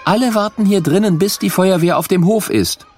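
A man voicing a female kindergarten teacher who calls out firmly and insistently to excited children in an audio story. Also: high voice, normal speed, soft background music, voice pushed up, natural voice. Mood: urgent, firm. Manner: calling out, insistent.

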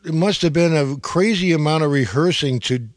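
A man's voice: on the high side, normal speed, no echo. A man near seventy hosting an interview, chummy and enthusiastic, often exclaiming in surprise. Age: older. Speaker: interviewer host. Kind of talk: casual interview chat.

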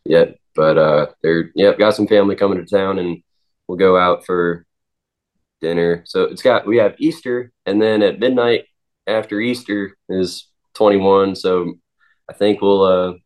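Young man, deep low voice, hesitant, lots of ums, casual interview talk. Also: steady tone, low-quality recording. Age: 20s. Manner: halting, many ums and restarts. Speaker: singer-songwriter guest.

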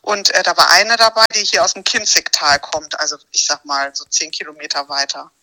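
A woman with a very bright, forward voice that sits a little low. She talks as a guest in a casual conversation, telling things in a colloquial, animated way. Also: a little fast, low-quality recording. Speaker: podcast guest. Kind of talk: casual chat, telling anecdotes.

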